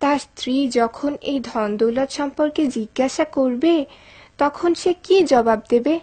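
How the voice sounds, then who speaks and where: A woman's voice: high voice, normal speed, dark tone, slightly rough. A woman narrating a cartoon story from a script.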